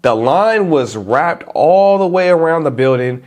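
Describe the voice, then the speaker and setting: A man with a stuffy nose, talking casually to camera as a vlogger in a relaxed, chatty, informal way. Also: a little high, a little slow, faint music behind.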